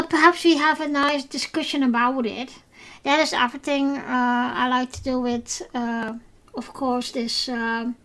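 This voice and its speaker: A woman's voice: high-pitched, fairly bright tone. A woman with a Dutch accent, a native Dutch speaker, hosting a solo podcast that recaps episodes, talking with many fillers and restarts. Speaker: podcast host.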